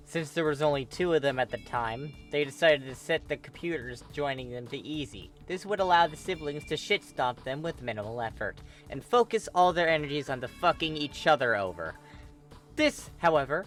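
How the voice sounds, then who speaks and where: An amateur storyteller reads fan fiction with a dramatic, animated delivery. The voice is mid-pitched, bright and forceful, with music playing behind it.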